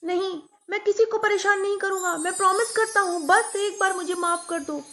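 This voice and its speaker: Frightened naughty little boy, very high and pleading, as a woman puts him on. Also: moderate pace, fairly bright tone.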